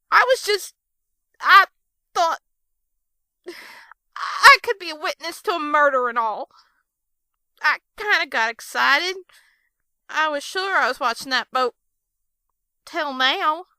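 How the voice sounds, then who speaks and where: A woman's voice: sits high, expressive, takes it slowly, pauses often, bright, forceful tone, no room sound, exaggerated voice. A woman playing a feisty young witness from the American South, with a folksy, indignant drawl, in an audio drama. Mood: sheepish. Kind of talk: fan audio drama voice acting.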